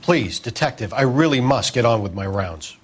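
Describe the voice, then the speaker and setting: A man voicing a brisk, grave hospital doctor in a video game, formal and clinical. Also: medium pitch, expressive, slightly rough.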